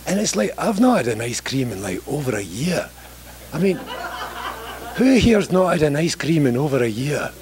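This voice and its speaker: A man's voice: fairly high pitch, very animated, moderate pace, a crowd in the background. Joking Scottish man with a handheld mic. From Scotland. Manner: joking, rambling, self-deprecating. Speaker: mayoral candidate. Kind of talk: candidate forum introduction. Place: library meeting room, standing before seated audience.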